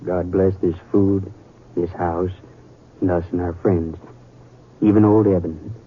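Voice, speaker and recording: A man's voice: low voice, fairly even intonation, unhurried. An actor playing a humble, devout father in a western radio drama. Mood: solemn. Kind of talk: old-time radio drama acting. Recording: tinny radio with faint noise.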